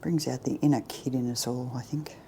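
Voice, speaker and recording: A middle-aged woman chatting her way through a baking tutorial in her home kitchen, self-deprecating and often dropping to a soft near-whisper. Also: rumbling low, fairly even intonation, fairly quick, slight background noise. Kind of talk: casual cooking demonstration.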